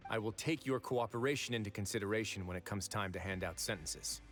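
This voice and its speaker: A man voicing a calm, commanding prison warden in a game who speaks in a measured, authoritative way, heard as game audio with music underneath. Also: fairly low pitch, lightly acted.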